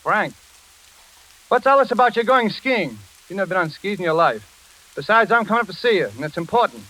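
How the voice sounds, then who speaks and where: A brisk, slangy engineer in a vintage 1940s radio play. The voice sits high and is bright and forceful. Faint crackle runs through the old recording. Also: male voice, sing-song, full character voice. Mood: puzzled, insistent. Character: brisk, slangy male engineer, concerned for his friend.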